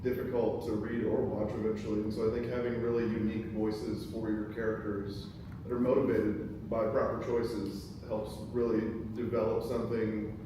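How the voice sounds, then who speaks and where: A man's voice: lowish voice, level tone, moderate pace. A man talking casually as a panelist in a group discussion. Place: echoey indoor room at a table read event. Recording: very echoey room, distant mic, background noise.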